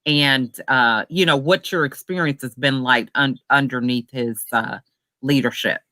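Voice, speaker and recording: An interviewer who asks long, encouraging questions in a lively, chatty way. The voice is bright and close, recorded dry with no room sound. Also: mid-range voice, measured pace, no echo. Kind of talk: casual interview.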